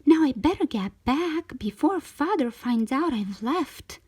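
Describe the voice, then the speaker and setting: A woman's voice: sits high, animated, a little slow, a little mellow, no echo, clean studio sound, voice pushed up. A woman voicing an eager, tender-hearted young mermaid princess in a children's storybook, with gasping, emotional delivery. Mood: grateful.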